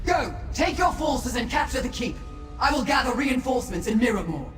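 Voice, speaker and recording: A woman's voice: high voice, very animated, fairly quick. An actress playing an imperious female enemy commander in a fantasy game, barking orders. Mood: fierce command. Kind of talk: acted fantasy game villain dialogue. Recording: echoey distant shouting over music.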